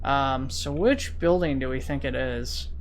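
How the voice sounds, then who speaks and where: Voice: medium pitch, a little slow. A video game streamer giving casual, rambling commentary while thinking aloud. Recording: vehicle and siren noise behind voice. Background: game sirens and vehicle sounds.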